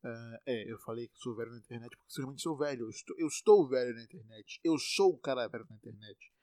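A man's voice: mid-range voice, quick pace, warm tone, no echo. Young bahian dude ranting on his podcast, swears a lot, dry close mic. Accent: Bahian Brazilian Portuguese. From Salvador, Brazil. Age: 20s. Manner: rambling, profane, self-mocking. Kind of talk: casual monologue.

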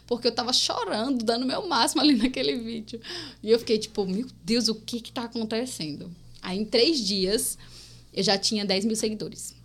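A woman's voice: on the high side, normal speed. A young woman in her twenties from Paraíba with a mixed Northeastern Brazilian accent, telling stories as a podcast guest. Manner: lively storytelling, often addressing listeners as "gente". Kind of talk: casual podcast chat.

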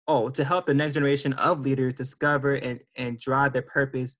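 A man's voice: mid-range voice, fairly bright tone. A man hosting an interview, reading out his guest's introduction with frequent ums and restarts. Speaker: host.

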